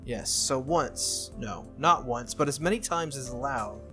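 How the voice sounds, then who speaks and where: A man voicing a stern, formal young female warrior from a visual novel, speaking in a measured, earnest way. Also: mid-range voice, lively intonation, a bit bright, faint music behind.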